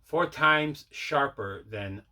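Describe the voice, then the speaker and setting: Man, mid-pitched, bright punchy tone, casual unboxing talk, rambling, repetitive. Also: animated, slow. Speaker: video host showing mail and movie collection.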